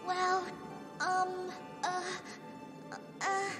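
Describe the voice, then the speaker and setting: A woman voicing a very young, unsure fairy princess in a video game, speaking hesitantly and haltingly. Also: very high voice, completely flat delivery, heavily acted. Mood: flustered, unsure. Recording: reverberant game audio with music behind.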